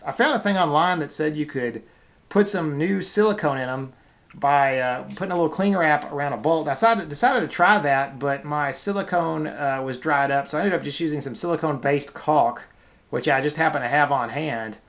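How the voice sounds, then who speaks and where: A man's voice: on the high side, moderate pace, a bit bright. Guy doing a casual demo narration, informal, kinda feeling his way through the explanation. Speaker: video host.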